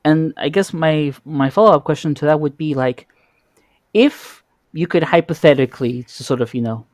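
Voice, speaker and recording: A man's voice: a little high, moderate pace, a little mellow, no echo, clean studio sound. A man hosting a casual interview chat, often repeating the starts of his words as he thinks. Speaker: podcast host.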